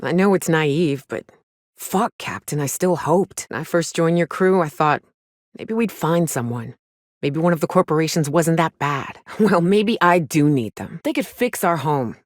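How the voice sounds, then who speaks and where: A woman's voice: deep voice, lively intonation, unhurried, no echo, studio quality. A woman playing a weary, cynical but caring frontier woman in a video game, blunt and plainspoken with folksy, sweary talk. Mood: disillusioned. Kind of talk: video game character dialogue.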